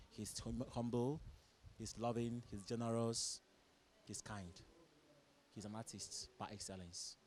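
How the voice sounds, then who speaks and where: A man's voice: lowish voice, a little mellow. A man with a Nigerian accent, a theatre director giving earnest interview answers in declarative, repeating phrases. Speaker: stage director and producer. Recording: clear background noise, event ambience.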